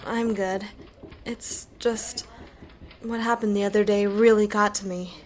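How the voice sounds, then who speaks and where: A woman's voice: mid-range voice, little pitch movement, unhurried, slight background noise, natural voice. A woman acting an earnest, troubled police officer in a conversational radio drama.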